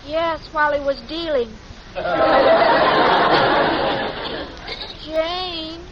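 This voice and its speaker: A sweet, dim-witted young American woman in an old radio comedy. Her voice is extremely high, with hesitant, earnest muddle. The vintage recording is muffled and clearly noisy. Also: drawn-out words, over a noisy crowd. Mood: innocent. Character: sweet, scatterbrained, naive young working woman. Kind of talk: 1940s radio sitcom acting.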